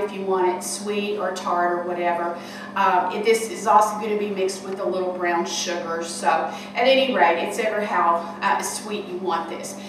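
Chatty older woman in an echoey kitchen. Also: mid-range voice. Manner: homespun, chatty, talks while working. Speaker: cooking show host. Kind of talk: casual cooking demonstration. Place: large kitchen hall indoors. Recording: echoey room from far off, noisy.

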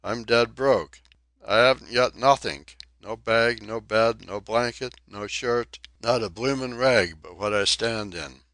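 A ragged, impudent sailor with a thick Cockney accent, dropping his aitches as he whines about his rights, put on by a male reader. The voice sits low and sounds dry and close. His tone swings between cheek and complaint. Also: a little slow, a bit bright, no room sound. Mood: whining. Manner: whining, indignant, dropped aitches.

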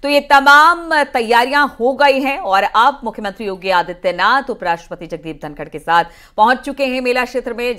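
Woman anchoring a live news broadcast. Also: fairly high pitch. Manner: steady, formal questioning.

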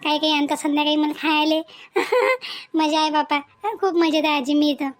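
A woman's voice: high voice, moderate pace, natural voice. A woman voicing a chatty, dutiful young married village woman in a cartoon family drama, with a Varhadi Marathi accent. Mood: cheerful, chatty. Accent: Maharashtrian, Varhadi Marathi.

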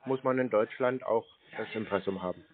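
A man's voice: fairly low pitch, a little fast. Laconic, teasing man on a phone line. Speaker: prank caller baiting scam call centers. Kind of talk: casual phone conversation. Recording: band-limited phone-call audio.

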